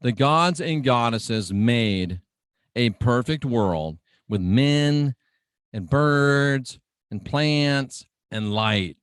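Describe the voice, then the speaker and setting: A man's voice: mid-range voice, expressive, drawn-out words, clean tone, dry recording, clean studio sound. A middle-aged man preaching on the radio in a dramatic, emphatic teaching style, repeating phrases for weight. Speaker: radio preacher and show host.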